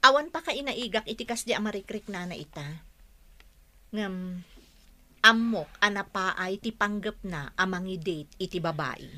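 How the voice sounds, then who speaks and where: A woman's voice: mid-range voice, expressive, normal speed, sharp tone. A woman acting a hurt young wife and mother in a radio drama, jealous and defensive, with a Filipino accent.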